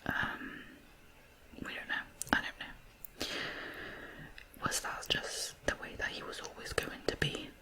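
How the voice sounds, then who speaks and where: Slow, unvoiced ASMR whisper. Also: bright, forceful tone, poor audio. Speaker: host of an ASMR true crime channel. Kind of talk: ASMR whispered true crime narration.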